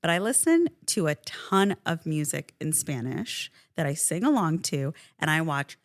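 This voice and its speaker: Chatty woman with a gravelly edge. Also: low-pitched, moderate pace, no echo, studio quality. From Iran. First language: Farsi. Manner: chatty, animated, laughs often. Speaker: podcast co-host. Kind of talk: casual couple chat.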